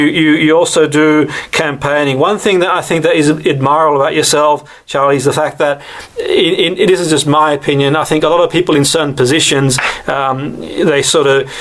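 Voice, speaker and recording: A man hosting a radio sports show, asking long rambling questions full of repeats and fillers. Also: on the high side. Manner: rambling, hesitant, many repeated words and fillers. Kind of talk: radio interview.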